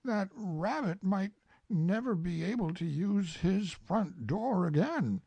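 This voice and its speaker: A gentle, simple teddy bear, put on by a woman in a storybook reading, with a wistful, drawn-out way of talking. The voice sits high and soft with a husky edge. He sounds plaintive and a little put-upon. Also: lively intonation, dry recording, exaggerated voice.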